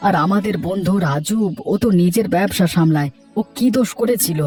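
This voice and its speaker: A man plays a discontented village husband who works the fields, in an animated story. His voice is high for a man, earnest and complaining, with faint music behind. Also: fairly quick, lightly acted. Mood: regretful. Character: discontented, hard-working village farmer and husband. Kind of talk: cartoon voice acting.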